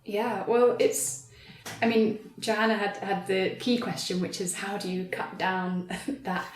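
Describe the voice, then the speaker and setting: A woman talking thoughtfully in an interview as a writer, choosing her words carefully and often pausing to rephrase. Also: medium pitch, level tone. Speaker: writer adapting an audio drama for stage. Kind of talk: thoughtful conversational interview talk. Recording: roomy, echoey sound.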